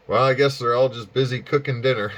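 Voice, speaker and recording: A man making casual amateur radio small talk in a halting, folksy way, with a dull, muffled sound. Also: medium pitch, fairly even intonation, very noisy. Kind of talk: casual radio contact chat.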